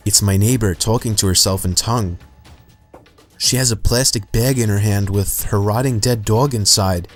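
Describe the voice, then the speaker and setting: Low-voiced man narrating horror stories. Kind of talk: scripted horror story narration.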